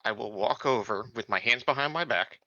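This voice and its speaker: A man's voice: mid-range voice, fairly quick, bright, forceful tone, dry recording, highs cut off. A man playing a tabletop roleplaying game, casually describing his character's actions and chatting with the group.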